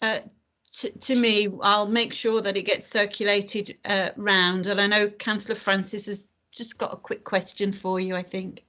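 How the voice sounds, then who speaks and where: A woman's voice: mid-range voice, level tone, normal speed, bright, forceful tone. A woman leading an online community meeting over a video call, talking with many fillers and restarts. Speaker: meeting facilitator.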